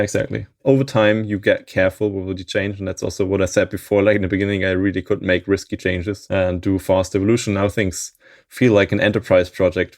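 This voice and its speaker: Low, flat, gravelly-voiced man. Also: dry recording, studio quality. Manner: thoughtful, chuckles often mid-sentence. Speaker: open source maintainer, interview guest. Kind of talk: casual interview chat.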